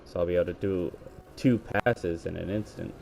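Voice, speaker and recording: Male gamer, low mellow scratchy voice, rambling play-by-play into a headset, faint hiss behind. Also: normal speed, creaky. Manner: rambling, self-correcting play-by-play. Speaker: gaming video creator. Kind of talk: let's play commentary. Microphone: gaming headset.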